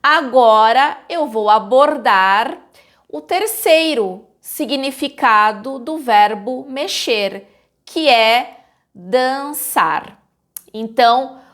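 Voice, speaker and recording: Woman, Brazilian accent, teacher, very slow pace, slightly high voice. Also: lively intonation, a bit bright. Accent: Brazilian Portuguese. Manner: slow, clearly articulated teaching delivery. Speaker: Portuguese teacher, channel host. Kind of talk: scripted lesson narration.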